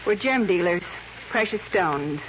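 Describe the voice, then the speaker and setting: A woman's voice: fairly low pitch, animated, a little slow. An actress playing a composed, businesslike female gem dealer in a 1950s radio drama, with a clipped delivery. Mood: composed, confiding. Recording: muffled 1950s radio recording with hiss.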